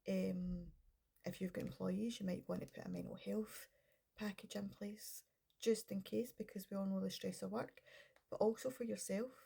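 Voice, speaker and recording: A woman's voice: mid-range voice, steady tone, normal speed, vocal fry, warm tone, slightly rough. A middle-aged woman with a cold, chatting casually to camera as a business coach, rambling and interrupting herself. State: congested with a cold. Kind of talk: casual vlog talk to camera.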